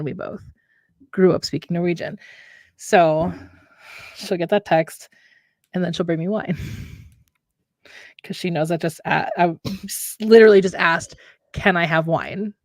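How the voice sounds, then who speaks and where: A woman's voice: lowish voice, no echo. A young woman hosting a casual chat with a friend, talking in a chatty, easygoing way and laughing often. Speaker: podcast host.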